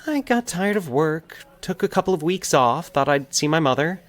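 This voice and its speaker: A man puts on a soft-spoken young woman for an audio story, hopeful and gentle. The voice is breathy, hushed and lifted high, with lively movement in pitch. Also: normal speed, soft background music, close to an everyday voice. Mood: shy, hopeful. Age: young adult.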